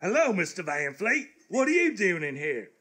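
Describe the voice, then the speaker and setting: An actor playing a naive, easily confused, good-natured country fellow in live comic radio theatre. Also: male voice, high voice, very animated, normal speed, bright, forceful tone, full character voice. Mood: puzzled.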